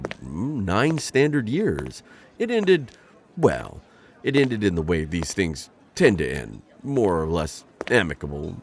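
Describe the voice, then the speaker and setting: A man's voice: low-pitched, exaggerated voice. A man playing an awkward male insectoid bounty hunter in an ASMR roleplay, talking in a formal, flustered, rambling way. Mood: wistful. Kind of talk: ASMR roleplay audio drama.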